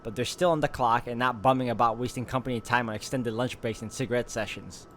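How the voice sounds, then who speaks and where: A man's voice: medium pitch, steady tone, quick pace, fairly bright tone, a little hiss or hum. A man hosting a let's play, reading visual novel narration aloud and dropping in casual asides.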